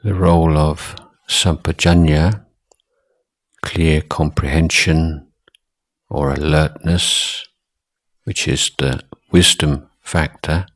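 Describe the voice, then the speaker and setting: An extremely low-pitched man leads a guided meditation in a husky voice. He speaks slowly and deliberately, leaving long pauses between short phrases as he instructs. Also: fairly even intonation, fairly bright tone. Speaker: Buddhist monk, meditation teacher.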